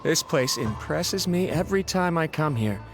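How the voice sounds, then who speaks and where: A polite, easily impressed young colleague in an anime-style game. The delivery is considerate and conversational, with lively melodic swings. Music plays clearly behind the voice. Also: male voice, fairly high pitch, normal speed. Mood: admiring, polite.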